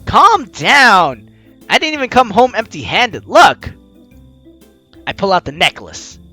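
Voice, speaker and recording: A man's voice: high voice, slow. A man hosting a let's play, expressively reading game text aloud and commenting, on a close mic with music behind. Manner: expressive, acts out lines as he reads.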